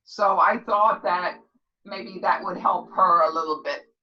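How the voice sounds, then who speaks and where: A woman's voice: on the low side, steady tone, measured pace, dull audio. A woman of seventy talking casually to a class as their instructor, emphatic and motherly, often repeating herself to check she is understood. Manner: loud, clear talker; motherly pet names for listeners. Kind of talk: casual motivational class talk.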